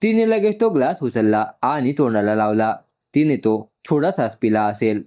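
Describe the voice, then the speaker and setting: A male storyteller with a lively, swinging pitch reads a scripted tale. His voice sits in a middle register. The recording is a little dull and muffled. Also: moderate pace. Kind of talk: scripted story narration with dialogue.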